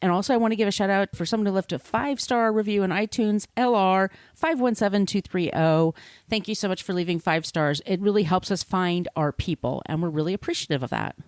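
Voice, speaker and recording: A woman's voice: mid-range voice, fairly quick, dry recording. A woman co-hosting a podcast, chatting informally with a salty tongue. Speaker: podcast co-host. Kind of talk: casual podcast chat.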